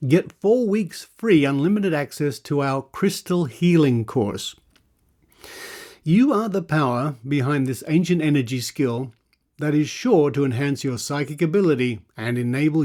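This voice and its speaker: Aussie guy podcast host, voice a bit on the high side, reads stuff out then gets dry and sarcastic about it. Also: darkish tone. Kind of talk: reading adverts aloud with sceptical asides.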